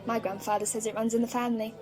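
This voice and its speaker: A woman's voice: fairly high pitch, flat delivery, rapid-fire, mellow, lightly acted. A woman playing a curious, earnest young granddaughter, polite but outspoken, in a fan audio drama. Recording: music underneath.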